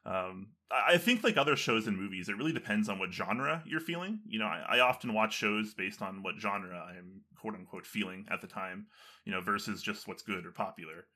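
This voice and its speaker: Man, bright forceful tone, enthusiastic casual talker, lots of fillers. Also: lowish voice, expressive, a little fast. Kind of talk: casual conversation.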